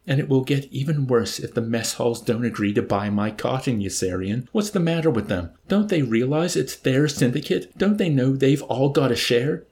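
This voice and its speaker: A man voicing an earnest, anxious male wheeler-dealer in an audiobook, with an excitable, pleading, plaintive manner. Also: medium pitch, a little fast. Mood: indignant. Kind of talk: audiobook character voice.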